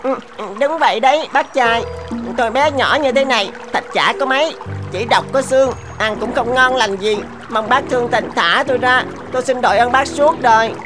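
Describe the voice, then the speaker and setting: Female actor, cunning little cartoon fish, sweet-talking, sly, higher pitch, clear background music. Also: moderate pace, lightly acted. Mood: pleading. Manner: coaxing, sly, expressive. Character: small, cunning, sweet-talking talking goldfish, mocking trickster. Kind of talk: animated fable dubbing.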